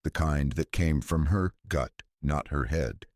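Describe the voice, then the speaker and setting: A man narrating a scripted thriller story in a measured, serious manner. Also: a bass voice, darkish tone, dry recording, clean studio sound. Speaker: audiobook narrator.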